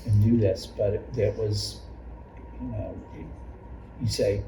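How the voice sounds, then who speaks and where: An older man with a very deep voice reminisces about his years as a volunteer teacher. He speaks haltingly, circling back over phrases. The recording is roomy and distant, with clear background noise. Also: steady tone. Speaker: longtime church member and Sunday school teacher. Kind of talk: personal reminiscence in an oral history interview.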